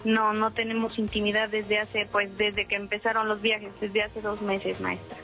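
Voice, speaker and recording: A woman's voice: mid-range voice, level tone, moderate pace, pushed and bright, soft background music. A woman, calling in hesitantly and deferentially for advice. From El Salvador. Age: 50s. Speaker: caller seeking advice. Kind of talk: recounting a personal problem.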